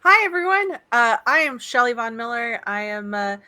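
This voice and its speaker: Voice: medium pitch, big pitch swings, normal speed, bright, forceful tone, clean tone. A performer introducing themself and their character for a tabletop game in a bubbly, theatrical way. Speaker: player. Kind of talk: casual self-introduction.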